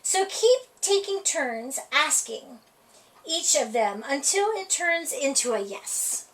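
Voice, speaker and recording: A woman reading a children's picture book aloud for a library storytime, with a playful, expressive delivery. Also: high voice, slow pace, slight background noise. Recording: voice heard from a distance off the mic.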